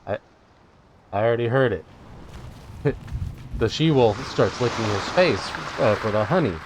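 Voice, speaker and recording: A man doing casual let's play commentary, low-key and thinking aloud. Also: lively intonation, unhurried. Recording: game sounds and noise behind.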